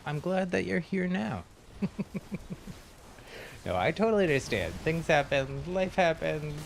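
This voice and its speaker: A man's voice: fairly high pitch, big pitch swings, a little slow, gravelly, slight background noise. A man streaming a game, chatting casually with viewers, friendly and chatty with frequent ums and laughs. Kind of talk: casual chat while gaming on stream.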